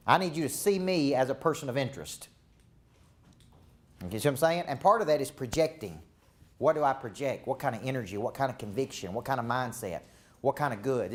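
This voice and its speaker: A man with a Southern accent giving a live seminar talk as a leadership coach, emphatic and repetitive with a preacher-like cadence. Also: on the high side.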